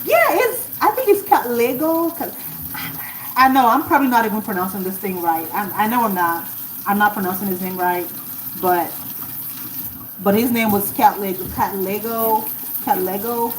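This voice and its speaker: A woman's voice: mid-range voice, normal speed, slight background noise. A woman from Nigeria, an author hosting a casual livestream, chatting and rambling to viewers while she cooks at home. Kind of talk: casual live-stream chat with viewers. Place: home kitchen. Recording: roomy, distant, running tap water.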